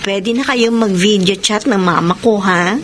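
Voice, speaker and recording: An actress playing a loving young girlfriend who feels things deeply, in a Filipino radio drama. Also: unhurried, noisy background, lightly acted. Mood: affectionate. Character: loving young girlfriend, hurt and betrayed, recounting her heartbreak. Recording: radio drama with music underneath.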